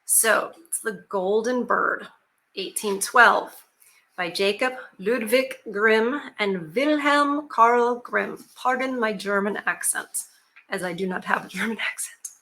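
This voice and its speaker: Bright-voiced woman reading a fairy tale. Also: mid-range voice, slow, rough recording. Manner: chatty, with fillers and asides to viewers. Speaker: YouTube storyteller. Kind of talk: reading a fairy tale aloud, with casual asides.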